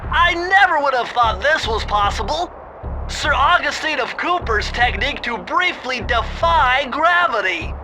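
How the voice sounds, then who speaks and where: A man voicing a nerdy young turtle tech genius in an animated game, bookish, precise and enthusiastic, with a nasal voice. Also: very high-pitched, measured pace. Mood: amazed, gleeful. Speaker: mission guide to the hero. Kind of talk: animated video game cutscene acting. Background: game music.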